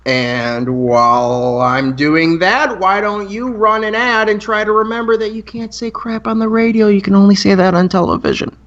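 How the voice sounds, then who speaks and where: A man's voice: sits high, fairly even intonation, moderate pace, hardly any pauses, fairly bright tone, highs cut off. A young man playing a playful, wordy eleventh-grade boy who co-hosts a campus radio show in an amateur radio play. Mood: teasing. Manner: playful, wordy announcer patter.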